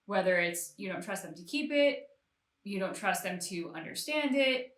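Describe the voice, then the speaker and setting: Bright, echoey woman in casual discussion. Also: mid-range voice, lively intonation, normal speed. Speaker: co-host of a reaction show. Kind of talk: casual discussion of a game story. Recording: roomy, echoey home-recorded sound.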